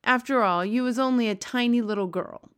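Lady doing a sweet friendly giant guy from a kids storybook, pitched up, earnest, muddles his grammar. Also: a little fast, a bit bright, smooth, close to an everyday voice. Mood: proud, gentle. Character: gentle, honorable, childlike big friendly male giant.

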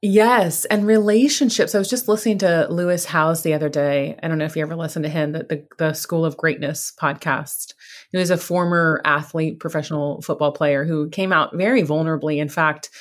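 A woman's voice: sits low, a little fast. A woman hosting an interview, encouraging and chatty, wandering through her thoughts and laughing easily. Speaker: podcast host. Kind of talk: conversational interview.

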